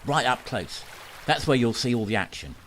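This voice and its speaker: A man's voice: medium pitch, expressive, moderate pace, natural voice. An actor playing a pushy man who sells staged drownings, with melodramatic salesman patter, in an amateur radio play. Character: pushy male con man who stages his own drownings for money.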